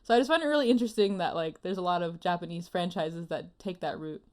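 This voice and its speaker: A woman's voice: on the low side, quick pace, darkish tone. A woman chatting casually as a panelist, musing tentatively and using lots of filler words. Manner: musing, tentative, heavy use of "like". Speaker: panelist on an anime discussion show. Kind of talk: casual chat.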